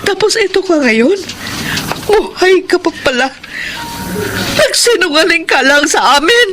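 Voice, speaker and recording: A woman playing a hardworking, earnest widowed mother in a radio drama, with a Filipino accent and a tender, halting delivery. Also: very high voice, animated, music in the background. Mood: shocked, hurt.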